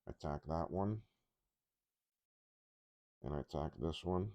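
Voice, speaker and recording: A man talking casually through a soldering job at his workbench, halting and rambling, his voice a little congested from a cough. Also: rumbling low, warm tone, no room sound. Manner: rambling, halting, with many restarts and ums. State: congested, slightly rough from a cough. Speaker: electronics repair hobbyist.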